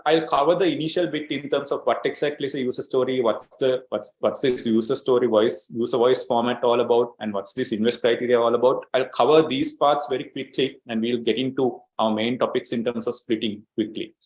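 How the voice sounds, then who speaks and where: Man in his 40s from Sri Lanka, webinar trainer, mid pitch, filler-heavy explaining, roomy echo on the call. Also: a little fast, few pauses. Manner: steady explaining, frequent "um" and "uh" fillers, repeats words. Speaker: agile coach leading a webinar. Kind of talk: online training webinar presentation.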